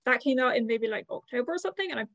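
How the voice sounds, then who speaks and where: Female podcast co-host. Lightly raised pitch, dry recording, casual delivery that feels its way along. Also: expressive, racing, fairly bright tone, no echo, highs cut off. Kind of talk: casual book podcast chat.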